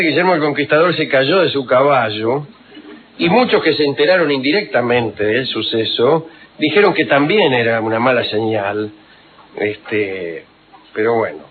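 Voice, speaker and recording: A man, telling historical anecdotes on the radio in an unhurried, wry, digressive way full of fillers. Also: mid-range voice, a little hiss or hum. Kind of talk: rambling storytelling on radio. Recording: tinny phone-like radio recording.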